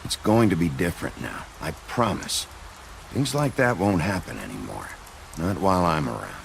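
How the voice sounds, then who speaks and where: An actor playing a gruff, world-weary lawman in a noir video game, gravelly, terse and brooding. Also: low voice, very animated, normal speed, exaggerated voice. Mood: quiet, resolved. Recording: noisy street ambience behind the voice.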